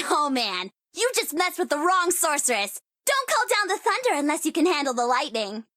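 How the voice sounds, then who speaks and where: High-pitched, cocky sorceress. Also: female voice, very animated, normal speed, bright, forceful tone, no echo, studio quality, exaggerated voice. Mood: cocky, wry. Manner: theatrical, teasing, swinging into wry asides. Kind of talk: video game character voice lines.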